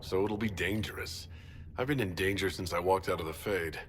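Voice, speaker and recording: A man's voice: low voice, a little fast, natural voice. A man playing a stern, blunt hero in a fantasy video game, speaking tersely. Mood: determined. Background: music.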